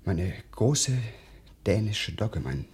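Radio drama role: ingratiating, devious gentleman, lowish husky voice, theatrical, over-polite. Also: very animated, slow, full character voice. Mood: feigned sorrow. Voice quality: breathy, husky, often dropping to whispers. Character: scheming, ingratiating male English aristocrat, outwardly courteous. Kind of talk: German radio drama acting.

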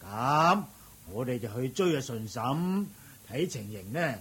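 An actor playing a fussy, kindly older village uncle in a Hong Kong Cantonese radio drama, excitable and coaxing, with a stammer when flustered. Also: male voice, medium pitch, measured pace, full character voice. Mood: flustered, urgent. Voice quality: very raspy.

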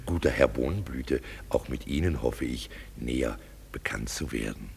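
A gravelly, jovial donkey-headed weaver. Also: male voice, lively intonation, moderate pace, exaggerated voice. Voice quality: gravelly deep voice, chuckling. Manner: mock-courtly, jovial. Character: Bottom the weaver, coarse jovial man with donkey head. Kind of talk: classic German radio play acting.

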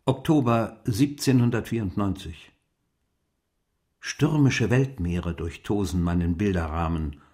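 A man playing a brooding diarist in a radio play, delivering a reflective, poetic monologue in a deliberate manner. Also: low-pitched, darkish tone. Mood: dramatic, poetic. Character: brooding, literary male diarist observing the sea.

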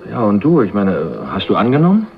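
Wary young man, low and animated. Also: fairly quick, creaky, narrow, tinny audio, soft background music, full character voice. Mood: dry irony. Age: young adult. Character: wary young man of twenty-four, dryly ironic. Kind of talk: 1980s German radio crime drama acting.

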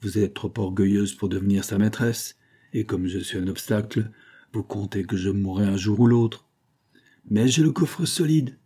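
A man's voice: on the low side, level tone, normal speed, dark tone, natural voice. A man reading an audiobook, voicing a drunken, bitter glassmaker husband who bullies and wheedles, emphatic and sardonic. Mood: bitter, angry.

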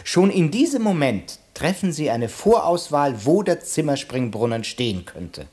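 An actor playing an officious, pedantic sales trainer in a radio play, instructing in a pompous, theatrical manner. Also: male voice, on the high side, very animated, unhurried, a little mellow. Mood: strict, lecturing. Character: officious, pedantic male sales trainer drilling his pupils.

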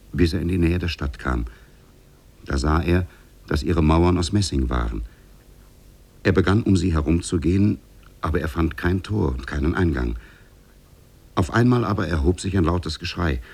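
A man narrating a fairy tale for 1950s German radio drama in a measured, solemn storytelling manner. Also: low-pitched, vocal fry, a little mellow, breathy edge.

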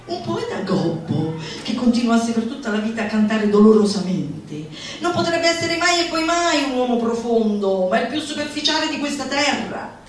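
A high-pitched woman recites a satirical monologue in a declamatory, mocking stage manner. She draws out key words for emphasis. Her voice sounds distant in a very reverberant room, with music behind it. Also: very animated, normal speed. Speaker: actress performing a literary monologue. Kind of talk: theatrical recitation of a satirical manifesto.

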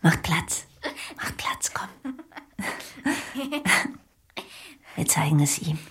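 A man's voice: fairly high pitch, big pitch swings, drawn-out words, a bit bright, husky, whispered, exaggerated voice. A man reading an audiobook, voicing a young mother with a teasing, laughing manner. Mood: giggly. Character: young mother, playful and fond.

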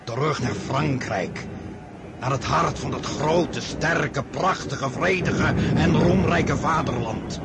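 An actor playing a proud, weary and grandiose emperor in a radio drama, declaiming imperiously. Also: male voice, creaky. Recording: radio play with loud battlefield rumble behind.

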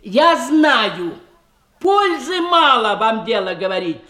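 High, slow, swooping, mocking woman. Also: sing-song, sharp tone, heavily acted. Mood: insistent. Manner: declamatory, indignant, ironic. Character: skeptical, mocking woman accusing a bride of pretence. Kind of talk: theatrical radio comedy acting in verse. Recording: roomy archive radio play recording.

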